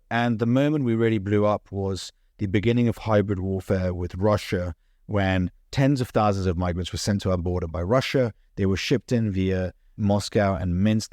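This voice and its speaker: Fast, earnest man, low and dry. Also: darkish tone, no echo. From United Kingdom. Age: young adult. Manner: earnest, fast, stumbles and restarts. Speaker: podcast guest, social media founder. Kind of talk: interview conversation.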